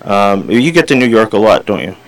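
A man from Canada hosts a radio interview in a low voice. He asks his questions in an easy, conversational way and presses for detail. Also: very animated, a little fast, poor audio.

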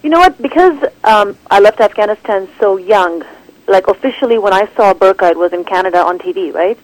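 A woman film director answering interview questions in an animated, rambling way, full of restarts and "like". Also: medium pitch, a little fast, poor audio. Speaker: documentary director, guest.

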